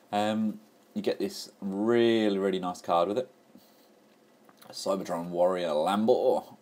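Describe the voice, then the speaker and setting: A man's voice: sits low, a little mellow, slight background noise. An enthusiastic man chatting casually through a toy review, full of fillers and restarts. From England.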